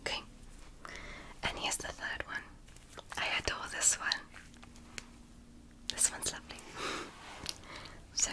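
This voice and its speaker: A woman whispering as a gentle alien woman doctor in an ASMR roleplay, softly praising and reassuring her patient. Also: poor audio. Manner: soft, slow, praising and reassuring.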